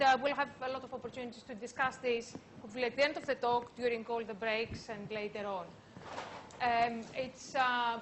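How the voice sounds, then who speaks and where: High-voiced woman lecturing, noisy background. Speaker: university lecturer giving a talk. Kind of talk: academic conference lecture.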